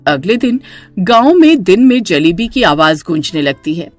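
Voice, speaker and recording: A woman's voice: fairly low pitch, sing-song, moderate pace, no room sound, faint music behind. A woman narrator reading a scripted horror story.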